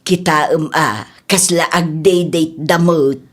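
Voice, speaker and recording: A woman's voice: low-pitched, takes it slowly. A woman playing a nosy, doting middle-aged mother in an audio comedy-drama, with an Ilocano accent and a drawling, gossipy delivery. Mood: gossipy, pitying.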